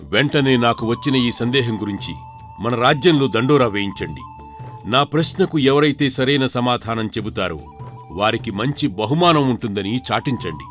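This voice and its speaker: Guy as a dignified king in a cartoon folk tale, formal n commanding, soft music under. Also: medium pitch, lively intonation, close to an everyday voice. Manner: formal, measured, authoritative. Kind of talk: animated folk tale dialogue.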